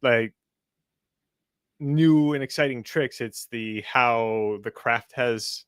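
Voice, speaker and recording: A young man co-hosting a casual chat, loud and teasing. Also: mid-range voice, slow, clear, resonant tone, no room sound, clean studio sound. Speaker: magic shop owner and co-host. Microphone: studio mic.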